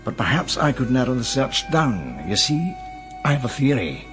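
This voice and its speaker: A man's voice: mid-range voice, sing-song, moderate pace, over music, natural voice. A man acting a courteous, shrewd London investigator with a British accent in a radio drama, polite and insinuating. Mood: probing, smooth.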